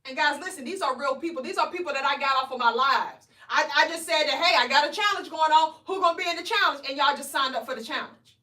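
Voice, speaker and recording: A woman coaches viewers on a live stream, energetic and preachy, tagging "right?" onto her points. Her voice sits high. It echoes around the room, a little away from the mic. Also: quick pace, hardly any pauses, recorded from a distance. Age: 40s. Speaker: weight loss coach. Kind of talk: live stream motivational talk.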